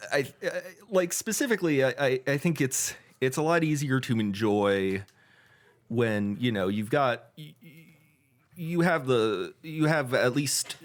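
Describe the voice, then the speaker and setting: A man co-hosting a podcast in casual chat, rambling and halting with many fillers and restarts. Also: medium pitch, sing-song. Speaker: podcast co-host.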